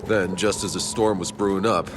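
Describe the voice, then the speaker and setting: Deep-voiced, grave soldier played by an actor. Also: male voice, fairly even intonation, moderate pace, background noise, lightly acted. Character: gruff soldier reporting grim discoveries to companions. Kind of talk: video game cutscene acting.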